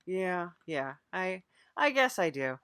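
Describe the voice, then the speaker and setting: A woman's voice: on the low side, sing-song, slow pace, a bit bright, clear, resonant tone, no room sound. A woman hosting an improvised mock interview, agreeable and a little hesitant, playing it straight in casual conversation. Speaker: podcast interviewer.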